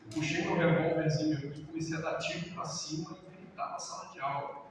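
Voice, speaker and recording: Animated storytelling pastor in a big echoing hall. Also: male voice, fairly high pitch, a little fast. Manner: lively storyteller, acts out quotes, laughs. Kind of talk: sermon-style talk with personal stories. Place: large church hall. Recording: roomy church hall, distant mic, background noise.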